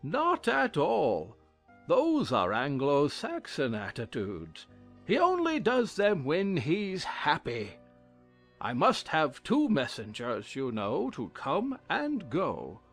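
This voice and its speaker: A pompous, fussy old king in an audio storybook. His theatrical voice is high and creaky, drawing words out with huge swoops. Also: huge pitch swings, slow pace, faint music behind, heavily acted. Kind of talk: dramatized audiobook acting.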